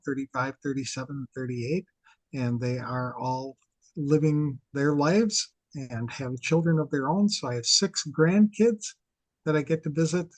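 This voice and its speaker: A man's voice: fairly high pitch, darkish tone, dry recording, rough recording. A man in his sixties with a Wisconsin accent, telling his story earnestly as a podcast guest. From Wisconsin, USA. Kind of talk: conversational interview storytelling.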